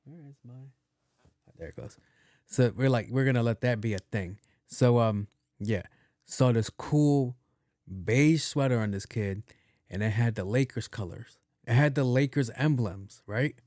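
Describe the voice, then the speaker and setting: A young man hosting a podcast in a soft voice, rambling casually with plenty of cursing and restarts. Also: unhurried, no room sound, clean studio sound. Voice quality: soft, not deep. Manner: loose and profane, with many restarts and repeats. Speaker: podcast host.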